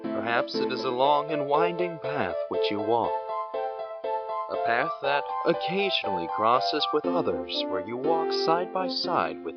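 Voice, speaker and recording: A man narrating an audio drama in a reflective, philosophical way. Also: mid-range voice. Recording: muffled lo-fi recording with loud guitar music behind.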